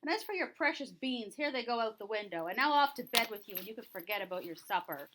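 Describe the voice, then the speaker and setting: A woman's voice: fairly high pitch, animated, fast, noisy background, lightly acted. A woman voicing an angry, scolding mother in a children's puppet show.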